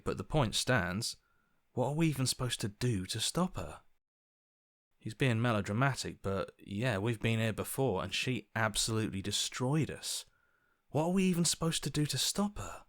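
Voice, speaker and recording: Earnest, anxious hero's inner voice. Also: male voice, mid-range voice, no room sound, studio quality. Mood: worried. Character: earnest, anxious male hero, reluctant conscience-like inner voice. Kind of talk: dramatic video game voice acting.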